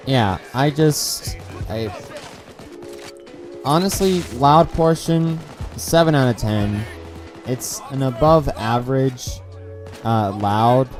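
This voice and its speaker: A man's voice: mid-range voice, darkish tone. A young man giving a casual, rambling game review, restarting sentences often and swearing, his throat dry so his voice cracks. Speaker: gaming video creator.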